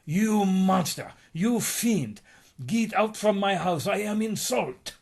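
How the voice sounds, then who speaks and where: A man's voice: high voice, animated, slow pace, gravelly, darkish tone, pitched above the performer's own voice, heavily acted. A man voicing an outraged, insulted woman who exclaims indignantly in broken English in a comic story.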